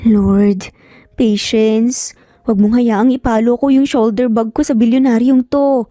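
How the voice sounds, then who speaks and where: A woman's voice: fairly high pitch, fairly even intonation, measured pace, warm tone, no echo, studio quality, natural voice. A woman voicing a feisty, easily flustered young single-mother nanny, sassy yet polite, in a narrated story. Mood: exasperated.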